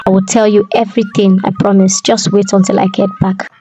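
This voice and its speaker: A young mermaid in love, voiced by a woman storyteller, coy and reassuring, in a folktale. Her voice is soft and mellow rather than bright, with music playing behind it. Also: mid-range voice, flat delivery, brisk, lightly acted. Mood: coy, excited.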